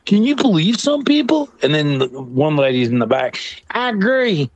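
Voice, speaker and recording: A middle-aged man telling a story in a casual, comedic way. He is animated, acts out other people's lines and laughs often. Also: on the high side, moderate pace, a little hiss or hum, low-quality recording. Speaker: storyteller guest.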